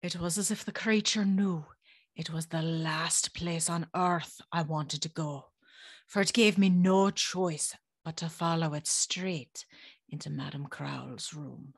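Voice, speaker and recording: Breathy, nervous young servant girl. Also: low-pitched, fairly even intonation, a little slow, full character voice. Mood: uneasy storytelling. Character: anxious, spirited young servant girl telling her tale. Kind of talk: dramatic first-person narration, gothic audio drama.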